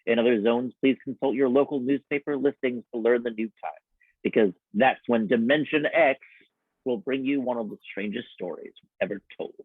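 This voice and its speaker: A man's voice: medium pitch, normal speed, breathy edge, no room sound. A man hosting and announcing a live old-time radio show. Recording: slightly dull, dry sound.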